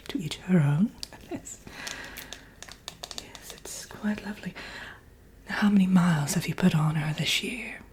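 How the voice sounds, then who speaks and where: A woman voicing a vain, sly wicked witch in a breathy, whispered ASMR roleplay, chatting coyly as if with an old friend. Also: lowish voice, slight background noise, natural voice, low-quality recording. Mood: chatty, coaxing. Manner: theatrical, coyly boastful, doting, slow and murmuring.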